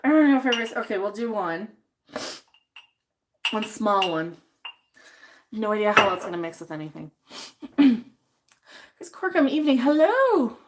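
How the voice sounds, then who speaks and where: A woman's voice: medium pitch, sing-song, unhurried, pauses often, noisy background. A young adult woman hosting a casual livestream while she cooks, talking bluntly, swearing freely and rambling, a little sniffly. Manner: reads chat names aloud and answers them. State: a bit sniffly. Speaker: livestream host.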